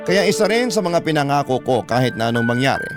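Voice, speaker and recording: A man's voice: mid-range voice, big pitch swings, fast. Filipino man narrating over music. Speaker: radio storyteller. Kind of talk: scripted dramatic first-person narration.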